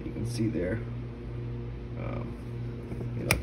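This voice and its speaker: Low-voiced man, distant noisy recording. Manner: informal, conversational, halting. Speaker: product reviewer. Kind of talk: casual unscripted product review. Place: indoors at a stone countertop. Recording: distant mic, clearly noisy background.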